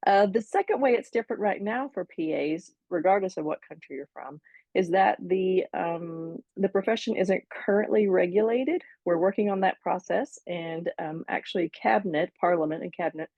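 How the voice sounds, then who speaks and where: A woman's voice: fairly low pitch, normal speed, no echo, muffled recording. An American woman in her forties, a physician associate answering interview questions in a friendly, candid way. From United States. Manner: friendly, candid, frequent ums.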